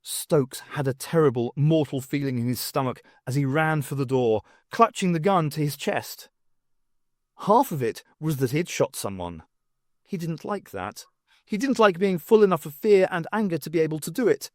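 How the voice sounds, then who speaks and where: A male audiobook narrator tells a story with expressive, wry delivery. His voice sits a little high for a man. The sound is close and dry, with hardly any room to it. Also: moderate pace, no echo. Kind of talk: dramatic scripted narration of fiction.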